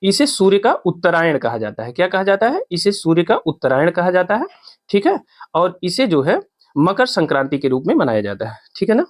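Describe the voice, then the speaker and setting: A man's voice: high-pitched, very animated, brisk, dry recording, studio quality. A man teaching an informal exam-prep lesson, repeating points and asking check questions as he goes. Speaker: teacher, exam coaching instructor.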